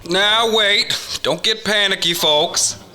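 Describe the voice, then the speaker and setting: An actor playing a folksy small-town sheriff in a radio drama, exclamatory and easily astonished. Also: male voice, high voice, takes it slowly, bright, forceful tone, exaggerated voice. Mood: steady, folksy.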